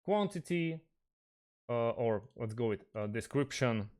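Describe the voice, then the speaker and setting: A man with a medium-pitched voice presents an on-screen walkthrough as a tutorial host. He goes step by step in a halting way, often hesitating in the middle of a phrase. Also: lively intonation, a little mellow.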